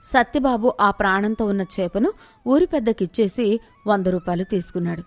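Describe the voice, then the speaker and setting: A woman's voice: mid-range voice, normal speed, no room sound, faint music behind. A woman narrator reading a scripted moral tale in storytelling style.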